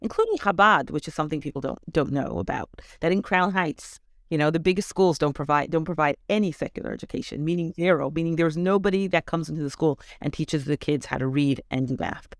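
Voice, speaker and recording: A woman's voice: deep voice, brisk, few pauses, dry recording, studio quality. A middle-aged woman from Canada with a North American accent, giving a conversational personal account as an interview guest. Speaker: interview guest, education advocate.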